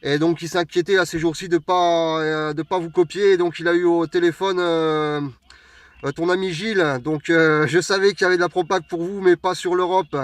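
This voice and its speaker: High, clear-voiced Frenchman on radio. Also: fairly even intonation, normal speed, no echo. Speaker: mobile radio operator. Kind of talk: friendly radio contact chat. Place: inside a parked vehicle. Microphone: radio hand microphone, recorded close by.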